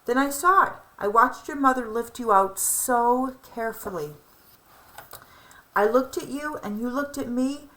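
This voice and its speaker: A woman voicing an affectionate, proud old grandfather telling family memories in a children's storybook, with fond, animated storytelling. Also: fairly high pitch, a little slow, pitched above the performer's own voice, rough recording. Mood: excited.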